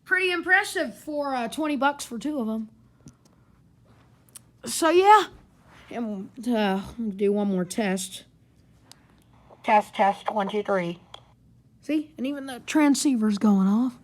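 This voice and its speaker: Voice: medium pitch, expressive, takes it slowly, pauses often. A person giving a casual, rambling hands-on gadget review in an informal way. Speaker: product reviewer. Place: carpeted home living room.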